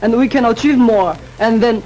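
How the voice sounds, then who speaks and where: High-voiced teen boy debating. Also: moderate pace, a little mellow, low-quality recording. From Korea. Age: teens. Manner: hesitant, many fillers and restarts. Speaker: student debater. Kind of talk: panel debate.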